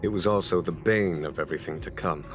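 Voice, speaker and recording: An actor as a low-voiced, measured army general. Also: male voice, noisy background, close to an everyday voice. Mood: solemn storytelling. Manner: measured, formal, courteous. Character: calm, wry military general of a spacefaring fleet. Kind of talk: scripted video game dialogue. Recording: background music under the voice.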